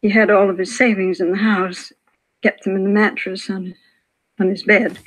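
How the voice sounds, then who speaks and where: A woman's voice: medium pitch, level tone, normal speed, natural voice, low-quality recording. A frail old widow in a vintage radio crime drama, played by a woman. She is hesitant and plaintive, apologizing as she goes, a shaken victim who is unsure of herself. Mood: plaintive, resigned. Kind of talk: 1950s radio drama acting. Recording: vintage 1950s radio broadcast.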